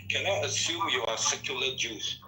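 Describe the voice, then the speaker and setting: A man's voice: medium pitch, normal speed, bright, forceful tone, rough recording. A man in an online debate who speaks in broken phrases and keeps asking for patience.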